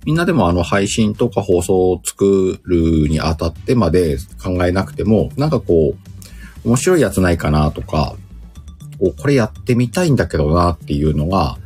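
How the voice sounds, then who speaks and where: A man's voice: deep voice, sing-song, moderate pace, a little mellow, faint music behind. A man hosting a casual livestream chat, speaking informally in slang and often chuckling. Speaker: livestream host.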